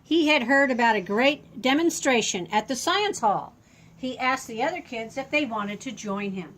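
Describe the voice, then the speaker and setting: An older woman reading a children's story aloud as a grandmotherly storyteller, adding simple, expressive asides to explain things to a small child. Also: on the high side, moderate pace, slight background noise.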